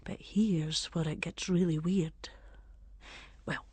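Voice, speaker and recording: Scottish village gossip, low husky voice, conspiratorial, emphatic, superstitious, radio monologue. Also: female voice, steady tone, slow, a little mellow, no room sound, full character voice. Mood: storytelling, confiding. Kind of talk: dramatic monologue in Scots dialect.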